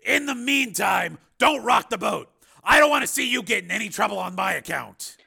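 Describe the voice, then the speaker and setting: A man voicing an angry, commanding renegade police lieutenant in an audiobook, with forceful, clipped, demanding delivery. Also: high voice, animated, moderate pace, creaky, slightly rough, voice pushed up, exaggerated voice. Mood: grim, firm. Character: defiant male cyborg police lieutenant on the run, commanding, angry.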